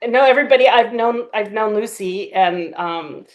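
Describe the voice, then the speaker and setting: A woman speaks casually, like a creative director recounting a production in an interview. Her delivery is enthusiastic and full of small acted-out quotes, at a middling pitch. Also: moderate pace, dull audio. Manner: enthusiastic, animated storyteller who acts out quotes. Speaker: audio drama creator, director and editor.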